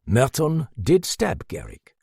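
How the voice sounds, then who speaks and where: Man voicing a commanding French detective, gravelly and deliberate. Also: mid-range voice, wildly swooping pitch, darkish tone, no room sound, studio quality, pitched above the performer's own voice, heavily acted. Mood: cool, probing. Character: commanding French detective, bearded, cool and cryptic.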